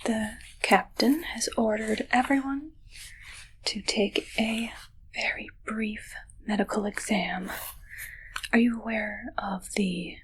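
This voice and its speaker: A woman in an ASMR roleplay voicing a soft-spoken, awkward alien posing as a ship's doctor, mostly whispering with halting, politely formal delivery. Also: fairly high pitch, fairly even intonation, husky, exaggerated voice, low-quality recording. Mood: hushed, formal.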